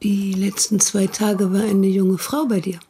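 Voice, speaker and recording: A woman's voice: medium pitch, flat delivery, a little slow, mellow, slight background noise, lightly acted. An actress playing an older, protective and prying sister who questions her brother reproachfully in a radio play. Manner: reproachful, probing, insistent.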